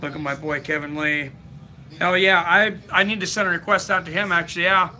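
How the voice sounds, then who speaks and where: A man's voice: high voice, fairly even intonation, brisk, pushed and bright. A man hosting a live fight companion stream, chatting casually and reading viewer comments aloud.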